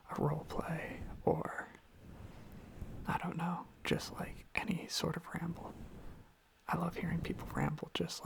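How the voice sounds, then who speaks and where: A person whispering a gentle, soothing ASMR ramble in a breathy voice with some fry, softly repeating phrases close to the microphone. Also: mid-range voice. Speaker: ASMR video creator. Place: own room.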